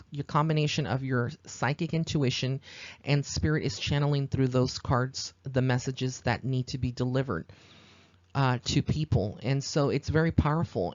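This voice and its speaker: Male podcast host, American, medium pitch, earnest rambling explainer, dry and slightly dull recording. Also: darkish tone, no echo. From Texas, United States. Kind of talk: informal spoken podcast monologue.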